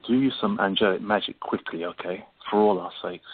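Man acting husky anxious boyfriend, tinny sound. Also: fairly low pitch, fairly even intonation, fairly quick. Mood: pleading. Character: anxious, devoted boyfriend, awkward and pleading. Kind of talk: amateur radio play acting. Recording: thin phone-like audio, distant.

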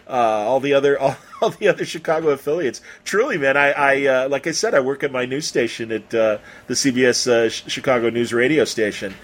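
An American man hosting a podcast in a casual, chatty style, talking enthusiastically and in a rambling way. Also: mid-range voice. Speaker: podcast host. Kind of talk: casual interview and chatty sponsor reads.

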